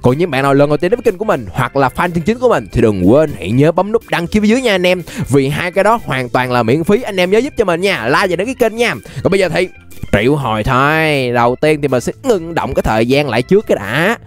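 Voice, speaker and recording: A playful, chatty young man giving animated gameplay commentary straight to his viewers. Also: on the high side, quick pace. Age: young adult. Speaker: gaming video host. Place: indoor gaming setup. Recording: music behind the voice. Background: music.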